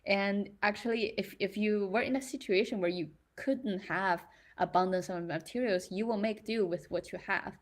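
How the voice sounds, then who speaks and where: A woman's voice: medium pitch, normal speed, hardly any pauses. A young woman from China, talking reflectively and casually, with many fillers and restarts as she thinks aloud. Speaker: creator in residency.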